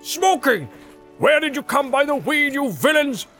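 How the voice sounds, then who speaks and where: A man's voice: very high voice, sing-song, a little slow, pushed and bright, soft background music, pitched above the performer's own voice, exaggerated voice. A man voicing a hot-tempered, indignant dwarf warrior, blustering and exclaiming in a storybook reading. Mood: outraged, overjoyed.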